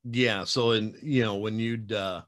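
Chatty low-voiced man on a video call. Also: fairly even intonation, measured pace, a bit bright. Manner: chatty, rambling, teasing, many fillers. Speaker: podcast host. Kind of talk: casual video-call interview. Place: home room with bookshelves. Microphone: webcam video call.

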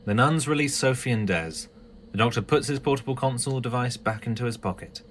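A man's voice: mid-range voice, fairly quick, a little hiss or hum. A man reading scripted narration for an audio drama.

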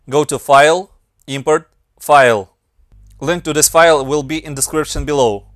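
Ukrainian-accented male tutor, a bit higher voice, very dry close mic, patient step-by-step walkthrough. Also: no echo. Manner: plain, step-by-step instructions with slightly non-native grammar. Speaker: motion designer and tutorial maker. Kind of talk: screen-recorded software tutorial.

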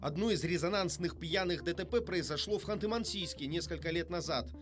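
A man narrates a television news report in a fairly high voice. His delivery is scripted and polished, with a wry, ironic edge. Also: a little fast, over music.

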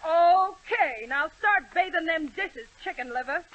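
A woman's voice: very high voice, expressive, unhurried, a bit bright, dull audio, exaggerated voice. A woman playing a domineering, coarse-talking wife in a 1930s radio comedy sketch, snapping orders. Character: bossy, domineering wife, loud and tough.

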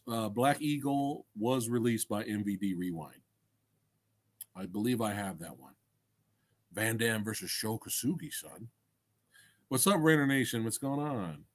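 A middle-aged man with a husky voice and a very lively, swinging pitch. He hosts a casual livestream, chatting to viewers in enthusiastic slang peppered with swearing. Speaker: livestream host and film reviewer. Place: small indoor room crammed with collectibles. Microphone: desk microphone close in front.

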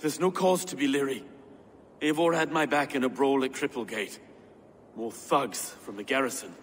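An actor playing an earnest, courteous medieval English reeve in a video game, with old-fashioned polite phrasing. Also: male voice, medium pitch, moderate pace, a little hiss or hum, lightly acted. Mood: solemn. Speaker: sheriff. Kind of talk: dramatic video game cutscene acting.

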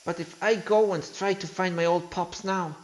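A non-native English-speaking man voicing an earnest young fire-lizard creature in a video game, halting, emotional and worried but determined. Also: high voice, fairly even intonation, noisy background, close to an everyday voice. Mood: scared, halting. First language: not English. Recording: game music behind the voice.

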